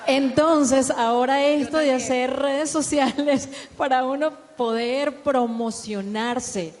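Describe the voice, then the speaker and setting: A woman's voice: high voice, slow. A woman voice actress chatting on a panel in a self-deprecating way. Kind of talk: panel discussion. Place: large event hall. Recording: long hall reverb, faint background noise.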